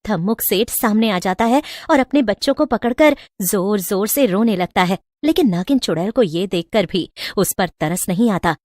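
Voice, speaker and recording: A woman's voice: medium pitch, lively intonation, normal speed, hardly any pauses, a little mellow, no room sound, clean studio sound. A woman storyteller reading a scripted moral tale in a dramatic narrating style.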